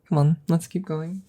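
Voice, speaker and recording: A woman playing an affectionate, teasing witch in an ASMR audio roleplay, speaking softly and gently, often dropping into close whispers. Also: deep voice, full character voice. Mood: warm, reassuring. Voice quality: soft, breathy, husky, often dropping to whispers. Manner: gentle, unhurried, cooing, playfully teasing. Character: affectionate, teasing young witch doting on her werewolf familiar.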